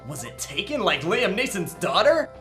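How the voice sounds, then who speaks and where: A man's voice: high voice, very animated, moderate pace. A man playing a young defense attorney in a game fandub, earnest and incredulous with sarcastic quips. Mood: musing. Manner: sarcastic, quippy. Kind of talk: visual novel game fandub acting. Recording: music behind the voice.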